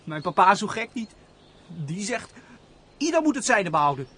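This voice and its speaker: A man's voice: sits high, big pitch swings, normal speed, bright, forceful tone, slightly rough, heavily acted. An actor playing a naive, chatty young man, a steward's simple son, in a radio play.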